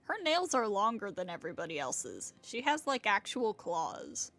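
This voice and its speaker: A woman's voice: medium pitch, very animated, moderate pace, a bit bright. A woman streaming a game, giving casual, musing live commentary in an informal way. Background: game music.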